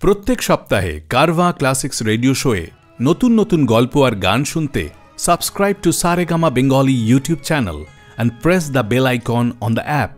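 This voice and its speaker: A man's voice: mid-range voice, very animated, unhurried, music in the background. A man with an Indian accent reading a scripted promotional announcement for a show.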